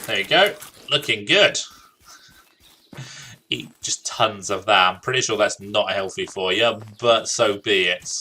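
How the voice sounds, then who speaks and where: Bright-voiced man doing let's play commentary. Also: fairly low pitch, measured pace, long pauses, slight background noise. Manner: jokey, casual, talks to himself and to the game. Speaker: gaming streamer. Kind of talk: let's play commentary, reading game dialogue aloud.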